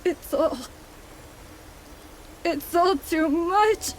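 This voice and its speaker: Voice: very high-pitched, extremely slow, slight background noise, exaggerated voice. An actor voicing an overwhelmed young boy in a fantasy game, pleading with his father in distress. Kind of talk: dramatic game voice acting.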